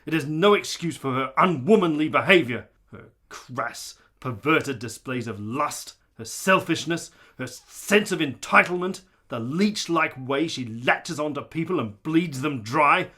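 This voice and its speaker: A man's voice: fairly high pitch, lively intonation, a little slow, hardly any pauses, bright, forceful tone, husky, heavily acted. A man playing a domineering, hot-tempered nineteenth-century army colonel in a radio drama, pompous and commanding, with formal diction. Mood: contemptuous anger. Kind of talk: period radio drama acting.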